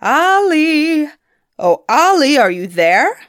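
A woman voicing a sly male troll in a storybook, calling loudly across the water. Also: on the low side, expressive, slow, dry recording, studio quality. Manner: loud hallooing calls.

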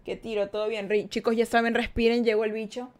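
A woman's voice: on the high side, flat delivery, quick pace, a little mellow. A woman hosting a live-streamed podcast, chatty and playful as she talks straight to her viewers. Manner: playful, chatty, reads viewer comments aloud. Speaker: podcast host.

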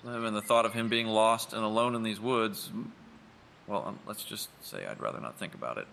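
Man as a movie director, earnest, formal, hesitant, crisp bright tone, faint noise, audio drama. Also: fairly low pitch, lively intonation, moderate pace, heavily acted. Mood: worried. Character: earnest, worried male film director.

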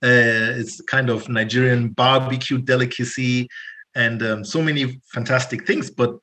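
A man from northern Germany, answering interview questions in a relaxed, rambling way with many ums and uhs. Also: medium pitch, normal speed. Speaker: podcast guest, entrepreneur.

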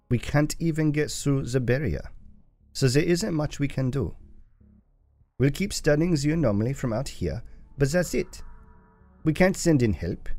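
An actor playing a reflective professor in an audio drama, with theatrical, drawn-out phrasing. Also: male voice, on the high side, darkish tone. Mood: resigned, concerned. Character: reflective male professor leading study of an anomaly.

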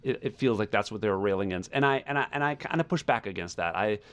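A man's voice: fairly low pitch, expressive, fast talker, clear, resonant tone. A man co-hosting a podcast, giving a casual review and searching for his words as he repeats phrases. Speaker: podcast co-host reviewing music. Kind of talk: casual conversational album review.

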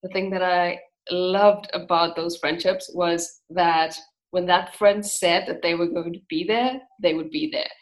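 A woman's voice: on the low side, fairly even intonation, a little slow, low-quality recording. A woman hosting a podcast, reading a scripted introduction and then asking chatty follow-up questions. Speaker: podcast host. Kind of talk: podcast interview.

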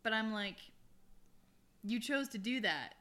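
Young woman with a bright, frank voice. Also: a little high, expressive, a little slow. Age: young adult. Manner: candid, self-deprecating, frequent laughter. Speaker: podcast co-host. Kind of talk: casual chat.

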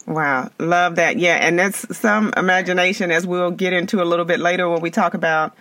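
A man's voice: high voice, steady tone, fairly quick, bright, forceful tone, dry recording. A man hosting a podcast interview, upbeat and chatty, often restarting his questions. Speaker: podcast host. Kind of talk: casual interview.

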